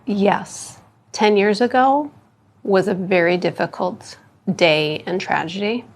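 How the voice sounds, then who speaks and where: A middle-aged woman, a school superintendent speaking earnestly and deliberately in a news interview, pausing between phrases. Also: medium pitch.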